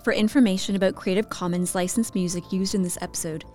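A woman's voice: medium pitch, steady tone, fast, dark tone, soft background music. A woman announcing the cast and credits of a radio play. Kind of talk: reading credits.

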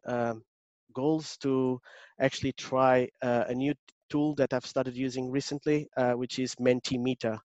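A man from Portugal with a strong Portuguese accent presents an online session as an agile coach. His delivery is courteous and halting, with many fillers. The sound is dull and dry, as over a video call. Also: medium pitch, a little mellow, dry recording. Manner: hesitant, many fillers, polite. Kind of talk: remote workshop presentation. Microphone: computer mic on video call.

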